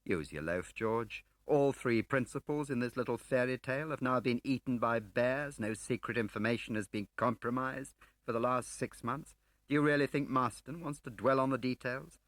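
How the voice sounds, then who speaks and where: A man voicing an urbane, flippant gentleman spy in an audiobook, with a droll upper-class English manner. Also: medium pitch, moderate pace, dry recording, pitched above the performer's own voice, close to an everyday voice. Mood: wry. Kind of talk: audiobook character acting.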